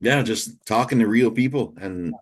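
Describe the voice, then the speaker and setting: A man in his late forties with a fairly low voice hosts a casual interview. He talks in a relaxed, candid way and speaks openly about his own life. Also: normal speed. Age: 40s. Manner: candid, easygoing and confessional. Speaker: podcast host.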